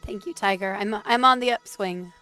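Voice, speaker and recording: A woman streaming a game while sick, her voice squeaky, chatting and muttering to herself. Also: moderate pace, sharp tone, soft background music. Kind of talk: casual gameplay commentary.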